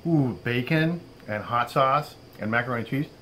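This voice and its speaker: A man in his fifties hosting a casual outdoor cooking demo, relaxed and chatty, often saying "all right", recorded on a camera mic on a patio. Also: mid-range voice, normal speed, a little hiss or hum. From Florida. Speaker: outdoor cooking channel host. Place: outdoor covered patio at a table.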